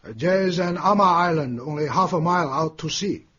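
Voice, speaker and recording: Husky, high-pitched police superintendent. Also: male voice, brisk, sharp tone. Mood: matter-of-fact. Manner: precise, sober briefing. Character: Japanese police superintendent, dutiful, matter-of-fact. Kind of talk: radio drama acting. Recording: dull, muffled radio drama recording.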